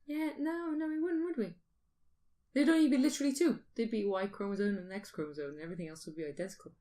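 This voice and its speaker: A woman's voice: mid-range voice, fast, warm tone. A British woman in casual conversation, musing informally and thinking aloud as a podcast host. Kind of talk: casual speculative chat.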